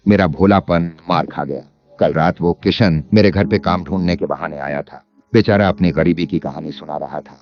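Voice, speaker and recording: A man playing a cunning, greedy village landlord in an animated moral story, speaking with sly emphasis. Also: sits low, natural voice. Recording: dull lo-fi sound under music.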